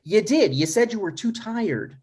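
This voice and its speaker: A man's voice: high-pitched, expressive, normal speed, darkish tone, husky. A man playing a defensive, scheming thirty-six-year-old son in a live comedy table read. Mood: exasperated. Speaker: writer and actor.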